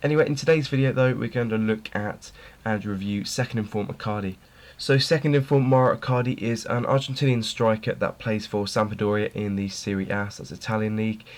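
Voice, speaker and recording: A man's voice: on the low side, steady tone, a little fast. A young man reviewing a video game player card in an informal way, his voice slightly worn as he is losing it. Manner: informal, repeats words for emphasis. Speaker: gaming video host.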